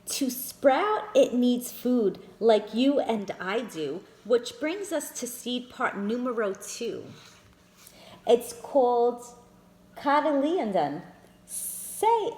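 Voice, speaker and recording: Slow, lively woman reading to children. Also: on the high side. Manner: addresses listeners as friends, pointing out pictures. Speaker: children's storyteller. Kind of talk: reading a picture book aloud to children. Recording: echoey room.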